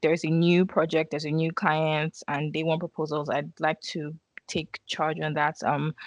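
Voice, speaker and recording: A woman's voice: deep voice, dry recording, low-quality recording. A young woman with a Nigerian accent, a marketing intern chatting casually as an interview guest. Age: young adult. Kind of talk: casual chat.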